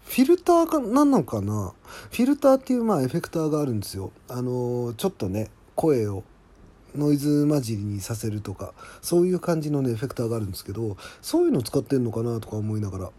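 A man's voice: mid-range voice, sing-song, fast talker, warm tone. A man hosting a solo podcast as its drama's writer, giving casual, chatty, admiring behind-the-scenes commentary. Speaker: podcast host and drama scriptwriter.

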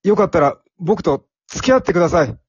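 Man playing a timid, higher-voiced young office worker. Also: sing-song, fairly quick, husky, dry recording, lo-fi sound, full character voice. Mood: earnest. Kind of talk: amateur radio drama acting.

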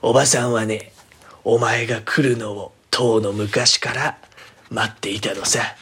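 A sinister old witch aunt, acted out by a man in a horror storybook. The voice is husky and sly, with knowing, old-fashioned turns of phrase that sound unhurried and menacing. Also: lowish voice, fairly even intonation, a bit bright, exaggerated voice.

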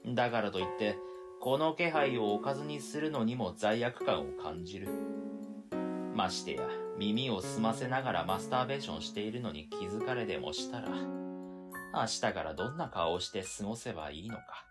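A man's voice: lowish voice, lively intonation, normal speed, loud music behind the voice, lightly acted. A man playing an exasperated, long-suffering young man who narrates his own story in an audio drama. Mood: weary, exasperated. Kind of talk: first-person scripted narration in audio drama.

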